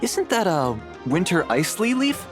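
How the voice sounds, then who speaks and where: A low, drawling, laid-back student. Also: female voice, very animated, a little slow, over music. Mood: offhand.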